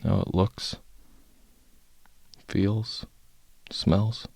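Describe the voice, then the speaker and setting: A man guiding a meditation in a calm, unhurried, soft-spoken way, with long pauses and a husky, gravelly voice. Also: lowish voice, no room sound. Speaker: guided meditation teacher. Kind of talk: guided meditation, slow instructional narration.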